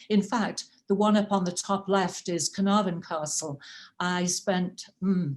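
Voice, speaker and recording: A woman with a Welsh accent and a fairly low voice talks her club through her background. She is chatty and self-deprecating, with plenty of uhs, heard over a video call. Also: darkish tone. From rural North Wales. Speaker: club member giving a talk. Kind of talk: personal presentation at a club meeting.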